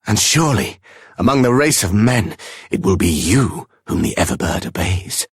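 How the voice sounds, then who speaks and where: Very raspy, grand fantasy dignitary. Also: male voice, lowish voice, very animated, normal speed, no echo, clean studio sound, heavily acted. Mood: grand, solemn. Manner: formal, declamatory. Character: grand, solemn male dignitary in a fantasy game. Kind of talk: video game character acting.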